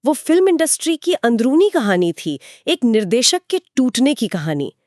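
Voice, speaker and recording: A woman's voice: on the high side, very animated, normal speed, darkish tone, dry recording, studio quality. A woman giving explanatory, conversational film commentary in an earnest storytelling way. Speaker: podcast co-host.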